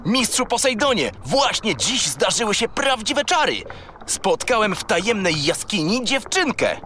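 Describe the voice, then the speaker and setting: Eager high-voiced young triton. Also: male voice, a little slow, a little hiss or hum, exaggerated voice. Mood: excited. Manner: excitable, eager. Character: eager, cheerful young triton sea creature, Poseidon's helper. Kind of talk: children's audio drama acting.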